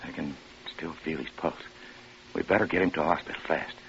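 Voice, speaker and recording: A man's voice: rumbling low, a little fast, muffled recording. An actor playing a practical, folksy male real estate agent in a 1950s radio drama, on an old noisy recording. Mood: alarmed.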